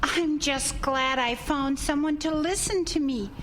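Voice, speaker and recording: Woman acting a high-voiced, worried homeowner. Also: a bit bright. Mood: worried, hesitant. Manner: hesitant, slow. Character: worried, weary woman owning a haunted house. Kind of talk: audio drama acting. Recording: clear ambient background noise.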